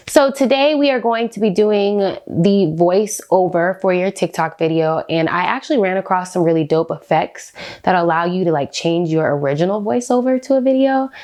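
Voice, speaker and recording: A woman's voice: fairly low pitch, normal speed, a little mellow. A woman with an American accent, talking informally and with slang as a vlogger walking viewers through a step-by-step tutorial. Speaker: beauty vlogger giving a tutorial. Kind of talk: casual step-by-step tutorial chat.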